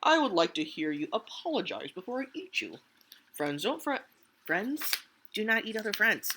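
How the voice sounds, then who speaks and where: Storybook: woman doing a big, grumpy, growling bear, slow and menacing. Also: mid-range voice, big pitch swings, pushed and bright, a little hiss or hum. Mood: gruff.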